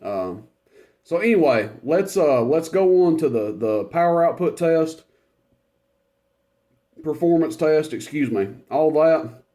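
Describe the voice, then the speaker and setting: A man with a loud voice, casually demonstrating a CB radio at his workbench, talking in a relaxed, rambling way with lots of fillers. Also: a little high, takes it slowly, pauses often. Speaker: CB radio reviewer.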